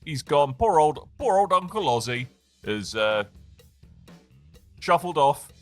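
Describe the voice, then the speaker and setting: Jokey Brummie man, lively pitch. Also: takes it slowly, no room sound, soft background music. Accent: Birmingham. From England. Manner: jokey, playful banter. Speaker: podcast host. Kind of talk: casual chat.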